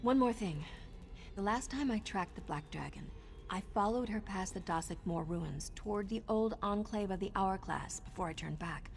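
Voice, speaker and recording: A woman's voice: medium pitch, lively intonation, normal speed, creaky. A woman voicing an embittered, restless assassin in a fantasy game, sardonic and emotional. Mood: intent. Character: embittered, restless, reckless female assassin, defensive and proud.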